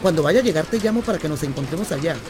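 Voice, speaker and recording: A woman's voice: sits low, lively intonation, very fast, background noise. An actress playing a romantic, adventurous woman in love, with a Colombian accent, in a radio drama. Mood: tender, yearning. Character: romantic, adventurous woman devoted to distant lover.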